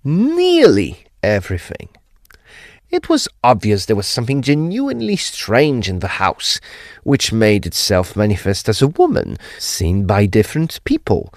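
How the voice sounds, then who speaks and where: An actor playing an earnest Edwardian gentleman, recounting a mystery in formal, emphatic phrases for an audio drama. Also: fairly low pitch, huge pitch swings, a little slow, no echo, studio quality, heavily acted. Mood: pondering. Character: earnest, animated Edwardian English gentleman telling a ghost mystery. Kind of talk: period audio drama storytelling.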